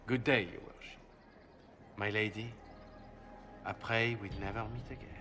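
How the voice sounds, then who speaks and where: Man playing a courteous, cultured assassin, formal and measured. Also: fairly low pitch, fairly even intonation, natural voice. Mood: polite, composed. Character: courteous, cultured male assassin messenger from a guild. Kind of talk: scripted video game cutscene acting.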